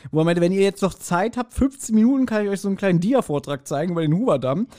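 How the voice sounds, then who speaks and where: A man with a voice somewhat higher than average talks as a podcast co-host. His delivery is casual and conversational. He recounts things jokingly and tends to chuckle. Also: expressive, racing, mellow. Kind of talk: casual chat, recounting and discussing.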